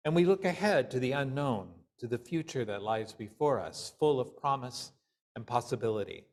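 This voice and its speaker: A male minister delivering a sermon in deliberate, measured phrases with pauses. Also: medium pitch, lively intonation, a little mellow.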